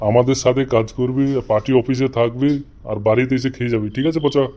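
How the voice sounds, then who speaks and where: A man voicing a gruff, foul-mouthed local political boss in an amateur comedy cartoon. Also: medium pitch, steady tone, fast, warm tone, close to an everyday voice. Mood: bossy. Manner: swearing, bossy, interrogating. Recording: dull lo-fi cartoon audio.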